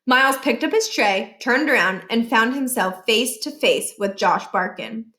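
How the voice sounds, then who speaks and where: A woman reading a children's book aloud in an expressive storytelling style. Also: a little high, unhurried. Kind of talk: expressive read-aloud narration.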